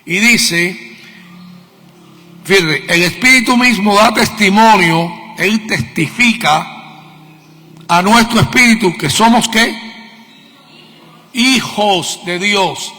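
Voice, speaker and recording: Male preacher, Cuban accent, high-pitched, forceful, didactic sermon delivery, key words repeated. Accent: Cuban Spanish. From Cuba. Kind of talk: sermon, teaching with questions to the congregation. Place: church meeting hall. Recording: long reverb of a hall.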